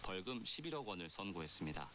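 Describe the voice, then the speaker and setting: A man reads scripted radio news in a formal, measured way, as an announcer. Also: sits low, big pitch swings, pushed and bright. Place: radio broadcast received over shortwave. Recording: noisy, hissy shortwave radio broadcast. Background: clear static hiss.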